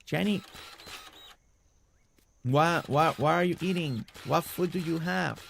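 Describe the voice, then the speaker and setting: A man's voice: fairly high pitch, slow, gravelly, a bit bright, a little hiss or hum. A man streaming a building game, chatting casually with viewers by name and a little congested. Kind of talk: casual live-stream chat while building.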